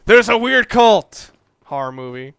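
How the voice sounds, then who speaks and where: A man's voice: high-pitched, sing-song, unhurried, creaky, fairly bright tone, smooth, no room sound. A young man giving casual, rambling gameplay commentary, swearing often and poking fun at himself. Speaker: let's play commentator.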